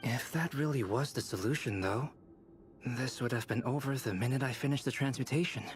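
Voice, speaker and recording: A man playing a calm young alchemist in a video game, measured, analytical and reassuring. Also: mid-range voice, a little hiss or hum, lightly acted. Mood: pensive. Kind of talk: video game drama acting. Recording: loud music behind the voice. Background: music.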